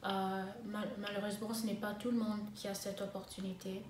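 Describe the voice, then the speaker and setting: A young woman in her twenties talks in a flat, even tone. She pauses often and restarts her sentences as she answers questions. The room is echoey, with faint ambient noise behind her. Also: medium pitch, recorded from a distance. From Congo (DRC), raised in South Africa. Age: 20s. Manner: hesitant, many fillers and restarts. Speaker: interviewee, master's student. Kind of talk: interview answers.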